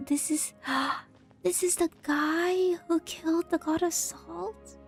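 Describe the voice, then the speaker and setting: A woman's voice: very high voice, steady tone, slow pace, soft background music. A woman giving casual, reactive game commentary in short murmured phrases to herself, at times reading text aloud. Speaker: game streamer.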